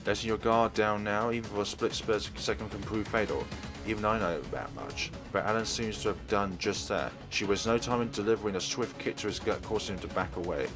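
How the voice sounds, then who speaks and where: Low-voiced man reading a story aloud. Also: fairly quick, over music. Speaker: let's play host. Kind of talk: reading visual novel aloud, narrating and doing character voices.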